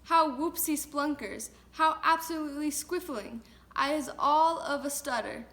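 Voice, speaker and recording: A woman voicing a kind, gentle male giant who mangles his words. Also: a little high, normal speed, natural voice. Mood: delighted. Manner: muddled grammar, made-up words.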